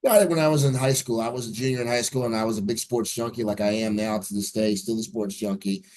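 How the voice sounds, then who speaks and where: Man in his forties, low-pitched, upbeat, fluent storyteller, radio news anchor, interview guest, video call. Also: steady tone, fast talker, a little mellow. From New Jersey.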